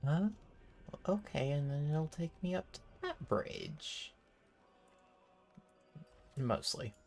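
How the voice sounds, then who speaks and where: A video game streamer giving casual, off-the-cuff gameplay commentary in a creaky voice. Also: medium pitch, lively intonation, slow pace, faint music behind.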